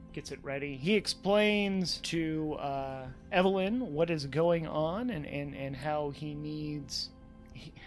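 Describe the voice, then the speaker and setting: A man narrating a solo tabletop role-playing game as game master, improvising haltingly and often repeating words. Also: fairly high pitch.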